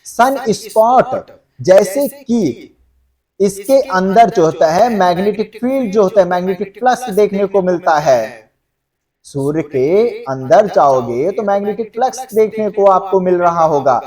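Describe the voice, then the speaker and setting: ऊँची आवाज़ वाला पुरुष शिक्षक, माइक से दूर. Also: male voice, high voice, a little slow, darkish tone, far from the mic. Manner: conversational, repeats check phrases to students. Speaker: exam-coaching geography teacher. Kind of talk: explanatory lecture to students.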